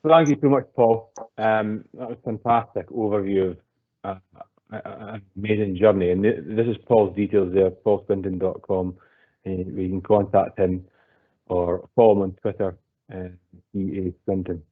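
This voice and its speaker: A man with a lowish voice hosts an online masterclass, thanking the guest and asking long, friendly, rambling questions padded with ums and you knows. His sound is thin and tinny, like a phone line. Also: steady tone, normal speed. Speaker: event host.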